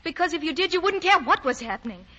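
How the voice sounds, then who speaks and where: A muffled, faintly noisy vintage radio recording of a devoted young bride-to-be. Her voice is bright, slightly high and earnest, with old-fashioned dramatic delivery. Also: lively intonation, fast talker, heavily acted. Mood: hurt, passionate. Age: young adult. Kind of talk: 1940s radio acting.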